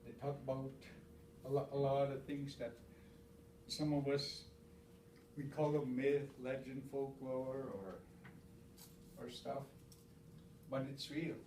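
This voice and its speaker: A man's voice: medium pitch, level tone, slow, long pauses, dark tone, reverberant, distant mic, slight background noise, poor audio. A man whose first language is Stoney, teaching in an informal spoken way, repeating words, counting aloud and restarting phrases. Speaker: elder teacher.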